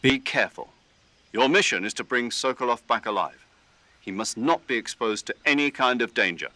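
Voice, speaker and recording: An actor playing an authoritative army major in a video game, giving formal, emphatic mission briefings. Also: male voice, medium pitch, animated, normal speed, bright, forceful tone, no room sound. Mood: stern, urgent. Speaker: commanding officer.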